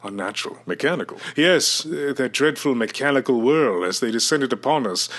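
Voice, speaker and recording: A man's voice: mid-range voice, animated, fairly bright tone, lightly acted. Man doing a stuffy, dramatic university chancellor. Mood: horrified. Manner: stuffy, halting, melodramatic. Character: fussy, shocked university chancellor, courteous male academic. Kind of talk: old-time radio character acting.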